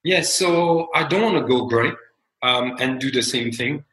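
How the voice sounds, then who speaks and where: A man in his forties from France with a French accent, talking as a founder guest in an interview in a measured, reflective way. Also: medium pitch, a bit bright, reverberant, a little hiss or hum, poor audio. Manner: measured, reflective, many fillers and restarts. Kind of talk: interview conversation.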